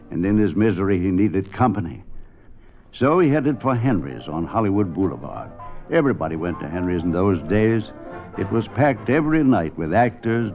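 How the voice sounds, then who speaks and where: Reminiscing storyteller, lowish voice, fifties radio narration, faint music behind. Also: male voice. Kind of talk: 1950s radio drama narration. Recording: dull 1950s radio recording.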